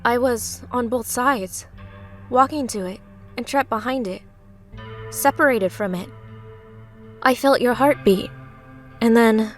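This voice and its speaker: A woman's voice: on the high side, slow, long pauses, soft background music. A woman playing an earnest, sorrowful young woman in a dramatic comic dub. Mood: dazed, haunted.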